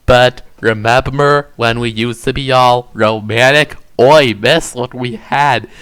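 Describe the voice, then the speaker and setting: A man's voice: mid-range voice, steady tone, slow pace, fairly bright tone, clear, resonant tone. A man voicing a shy, lovesick young troll boy who talks hesitantly, full of uhs, in an amateur fan fiction reading. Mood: pleading. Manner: hesitant, stammering with frequent uhs.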